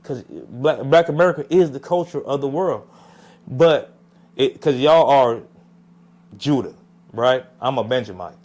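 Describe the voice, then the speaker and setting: Podcast guest: young man from Jamaica, mid-pitched, rambling and reflective, with many fillers. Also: animated, unhurried, pauses often, darkish tone. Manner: reflective, rambling, lots of "you know what I'm saying". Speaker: podcast guest, music artist. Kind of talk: casual interview talk.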